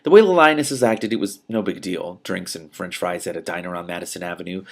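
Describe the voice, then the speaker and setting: A man reading a novel aloud as host, in an expressive style with emphatic phrasing and off-the-cuff commentary. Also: low-pitched, fairly quick, gravelly. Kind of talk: expressive audiobook-style reading with spontaneous reactions.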